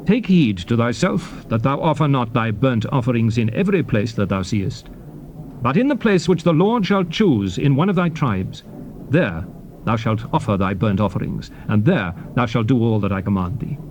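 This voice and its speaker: A man narrating scripture in a formal, declamatory style, with solemn, measured and emphatic phrasing. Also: medium pitch, sing-song, darkish tone. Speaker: narrator of a dramatized scripture audiobook. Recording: noisy old cassette tape recording.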